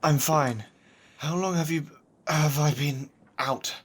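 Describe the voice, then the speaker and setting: An actor playing an injured, bitter young husband in an audio drama, proud, strained and despairing. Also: male voice, fairly high pitch, slow, a little hiss or hum. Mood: groggy, pained. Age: young adult.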